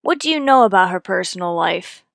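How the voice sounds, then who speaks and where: A woman's voice: on the low side, expressive, brisk, gravelly, fairly bright tone, dry recording, clean studio sound. A woman playing a tough, firm police detective, terse and pressing, in a radio detective drama. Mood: matter-of-fact. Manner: terse, hard-boiled, pressing. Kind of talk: old-time radio detective drama acting.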